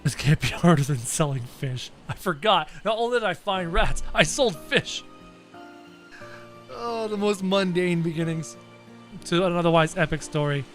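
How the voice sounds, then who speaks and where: A man's voice: fairly high pitch, lively intonation, normal speed, few pauses, fairly bright tone, faint music behind. A man livestreaming a video game with casual, joking, exclamatory commentary, thinking aloud as he chats with viewers. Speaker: live game streamer.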